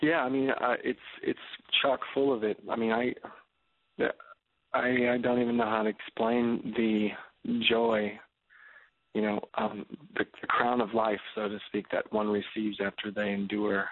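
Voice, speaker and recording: A man's voice: mid-range voice, lively intonation, a little slow, dry recording. A man in his thirties talking earnestly as a radio guest, preaching with many stammered restarts. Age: 30s. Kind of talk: phone-in radio interview. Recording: tinny phone line.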